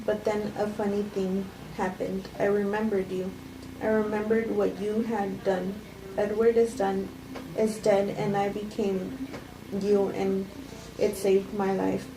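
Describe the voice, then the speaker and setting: A woman reading the part of a subdued, guarded wife in a flat, unpolished table read of a film script. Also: medium pitch, measured pace, lightly acted. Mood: somber, resolute. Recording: roomy, distant mic, clear background noise.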